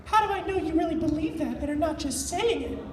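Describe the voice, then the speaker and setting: A woman's voice: extremely high pitch, animated, fast, crowd noise. A woman voicing a small, irritable, menacing female cartoon creature in a live fan fiction reading. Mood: skeptical. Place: convention panel room. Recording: echoey panel room, distant, noisy.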